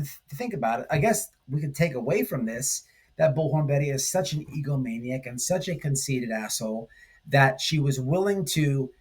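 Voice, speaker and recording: A man hosting a commentary stream, talking casually and haltingly, repeating phrases for emphasis. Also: a little high, husky. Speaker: host of a livestream commentary channel. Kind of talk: casual rambling drama commentary.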